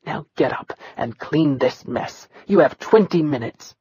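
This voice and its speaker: A woman voicing a brutal male military commander who talks slowly and coldly, full of menace. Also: fairly high pitch, lively intonation, breathy edge, no room sound, lo-fi sound, heavily acted. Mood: menacing. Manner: slow, menacing and cold.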